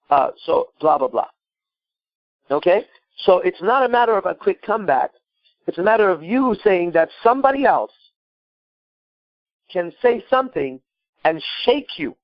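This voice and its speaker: Emphatic man coaching, slightly high voice. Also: no echo, muffled recording. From Iran. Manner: emphatic, profane, repeats himself, asks rhetorical questions. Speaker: podcast host and coach. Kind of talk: live call-in advice, improvised coaching.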